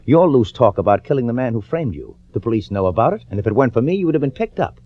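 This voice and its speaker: An American actor playing a smooth, ambitious, ruthless prosecutor in a radio suspense drama, urgent, commanding and calculating. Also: male voice, medium pitch, very animated, fast talker. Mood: firm, persuasive. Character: scheming, smooth, ambitious prosecutor, outwardly friendly, privately ruthless. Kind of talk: 1940s radio acting, with dramatic first-person narration. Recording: dull old-time radio recording.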